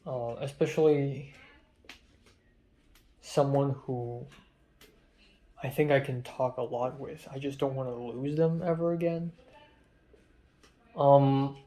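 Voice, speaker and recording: A young man with a Hong Kong English accent and a raspy, muffled voice, talking in a rambling, self-deprecating way in a confessional vlog, with many um fillers. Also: mid-range voice, steady tone, takes it slowly, long pauses, dark tone. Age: young adult. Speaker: vlogger.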